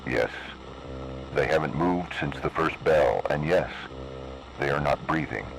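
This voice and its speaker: An extremely low-voiced, ominous announcer acted by a man reads an analog horror emergency warning. The delivery is grave, deliberate and cryptic, over a lo-fi radio broadcast with faint noise. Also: vocal fry, exaggerated voice. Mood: stern, foreboding.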